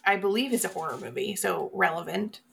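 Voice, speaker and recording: Female podcast co-host, medium register with plenty of fry, sweary and emphatic in relaxed movie talk. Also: medium pitch, fairly quick, a little hiss or hum. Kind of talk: casual film chat.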